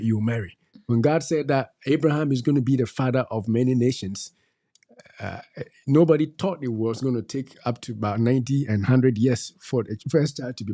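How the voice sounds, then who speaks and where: A man's voice: mid-range voice, mellow. A middle-aged man gives faith-based advice on a talk show. He exhorts his listeners and repeats his key phrases like a preacher. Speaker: co-host.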